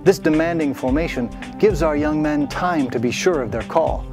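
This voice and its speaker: Man, scripted narration, solemn, deliberate, fairly high voice, clear music bed. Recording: music underneath.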